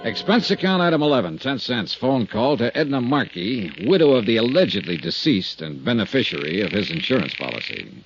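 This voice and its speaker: A cool insurance investigator in a 1950s radio drama. His delivery is clipped, wry and persistent, with animated pitch movement. The vintage recording is muffled, with music in it. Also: fairly quick, hardly any pauses. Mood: wry, businesslike. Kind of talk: 1950s radio detective drama acting with narration.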